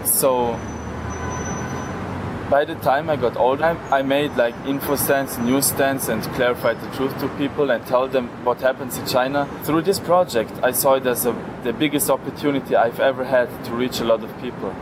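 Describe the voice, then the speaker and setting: A man's voice: medium pitch, steady tone, moderate pace, background noise. A young man in his late teens from Austria, giving earnest answers in an interview.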